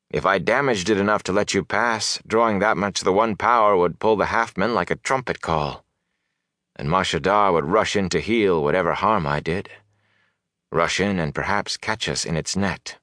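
Male narrator as stern female sorceress for fantasy audiobook, grave and measured, low register, dry close sound. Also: fairly bright tone, dry recording. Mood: bitter, stern. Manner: measured, solemn warnings. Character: stern, commanding sorceress, grave and urgent woman.

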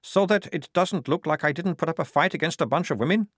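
A man's voice: fairly high pitch, quick pace, fairly bright tone, no echo, clean studio sound, voice pushed up. A man voicing a chummy, wheedling male castle guard. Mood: wretched.

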